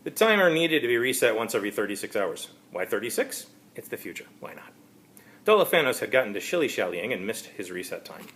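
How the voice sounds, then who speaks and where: A man narrates a first-person story as an amateur reader. His delivery is halting and wry. His pitch varies widely, giving the sentences a lot of movement. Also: sing-song, fairly bright tone, slight background noise. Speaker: author reading his own story.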